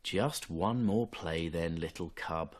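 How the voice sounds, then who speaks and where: A man voicing a gentle, tender mother fox coaxing her cub in a bedtime story. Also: low-pitched, slow, voice pushed down.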